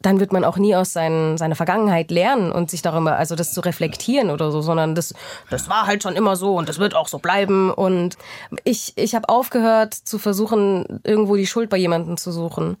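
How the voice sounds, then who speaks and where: A woman's voice: fairly low pitch, fairly quick, a little mellow, no echo, clean studio sound. A young adult woman speaking as an interview guest, reflective and hesitant, often restarting her sentences. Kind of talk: candid personal conversation.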